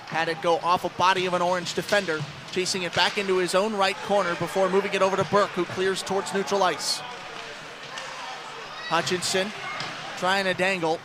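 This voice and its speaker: An American man calling live ice hockey play-by-play as a radio announcer. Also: high voice. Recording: clear rink noise behind commentary. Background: ice hockey game noise.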